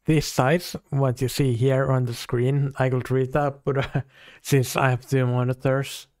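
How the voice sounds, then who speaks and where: A man's voice: mid-range voice, flat delivery, measured pace, darkish tone. A drunk man with a Finnish accent, a streamer giving crude, joking reaction commentary. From Finland. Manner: crude, swearing, joking, laughing.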